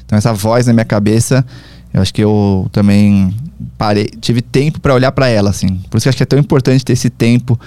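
Fast-talking reflective Brazilian man. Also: fairly low pitch, fairly even intonation, dark tone, no echo. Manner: reflective, halting, many fillers and restarts. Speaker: podcast guest, travel platform founder. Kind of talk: casual conversational interview.

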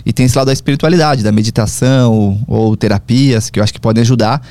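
A man from Brazil, a podcast guest, talking in a reflective, halting way with many fillers and restarts. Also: medium pitch, dark tone, no room sound, faint music behind. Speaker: podcast guest, travel platform founder. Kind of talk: casual conversational interview.

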